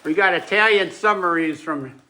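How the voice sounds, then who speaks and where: A man chats casually as a channel host. His voice is bright and forward, set a little higher than most men's. He talks in a plain, opinionated way. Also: moderate pace, low-quality recording. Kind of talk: casual chat to camera.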